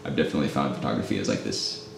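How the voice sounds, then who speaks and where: Fast, deep-voiced chatty interviewer. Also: male voice, gravelly, slight background noise. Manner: chatty, rambling, fillers like "like". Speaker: coffee shop host. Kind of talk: casual interview. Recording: echoey room, mic at a distance.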